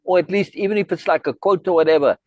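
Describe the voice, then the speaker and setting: A man's voice: sits high, fast, a little mellow, no echo, lo-fi sound. A middle-aged man from South Africa, hosting a video call and chatting in a friendly, rambling way, full of asides and restarts. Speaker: host. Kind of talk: casual chat on a video meeting.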